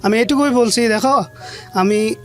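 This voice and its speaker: A man's voice: high voice, measured pace, soft background music. A Bangladeshi man telling his life story as an interview guest, plain-spoken and colloquial, often repeating phrases. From rural Bangladesh.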